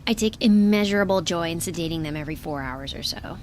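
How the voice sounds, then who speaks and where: A woman's voice: on the low side, quick pace, a little mellow, a little hiss or hum, close to an everyday voice. A woman playing a caring, exasperated young shipmate who tends to the injured in an audio drama, earnest and fussing with dry humor. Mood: reassuring.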